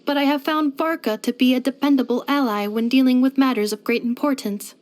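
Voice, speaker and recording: A woman's voice: sits high, fairly even intonation, darkish tone, close to an everyday voice. A woman voicing a polite, earnest female robot in a video game, speaking formally and precisely. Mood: measured, analytical. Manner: formal, precise, measured delivery with clinical machine-like phrasing. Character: polite female robot maid, earnest, gentle and literal-minded. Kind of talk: scripted video game character voice lines.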